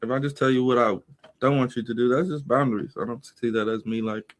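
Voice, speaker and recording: A man's voice: medium pitch, fairly even intonation, quick pace, background noise. A man talking as a guest on a livestream, stammering and slangy in casual chat.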